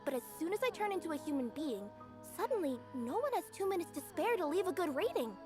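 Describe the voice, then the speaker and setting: A woman voicing a perky, hardworking young cat yokai girl in a video game, chatty and expressive. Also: very high-pitched, fast talker, music in the background. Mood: musing, chatty.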